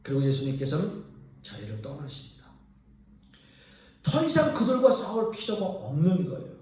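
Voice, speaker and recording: A male pastor preaching a sermon, his voice high and full of lively rises and falls. He speaks with earnest pauses, lifting some lines and dropping others to a hush. He sounds set back from the mic in a church sanctuary, where the voice rings with a heavy echo. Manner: earnest preaching with pauses, swinging between raised and hushed lines. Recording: echoey church hall from a distance.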